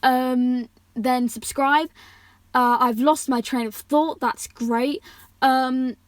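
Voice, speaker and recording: A young woman with a fairly high voice works as an amateur reader and host. She is informal and prone to small stumbles and self-corrections. The sound is dry, with very little room on it. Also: steady tone, takes it slowly, dry recording. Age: teenager or young adult, still at school. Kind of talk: reading a story aloud with casual asides.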